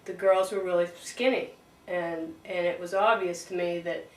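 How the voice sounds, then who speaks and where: Lower-voiced middle-aged woman, echoey interview. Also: fairly even intonation, moderate pace. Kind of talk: conversational recollection in interview. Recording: echoey room, distant mic, faint noise.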